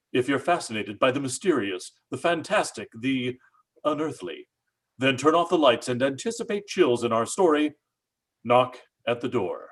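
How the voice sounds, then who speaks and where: A man announcing an old-time radio horror show with ominous, theatrical scripted narration. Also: medium pitch.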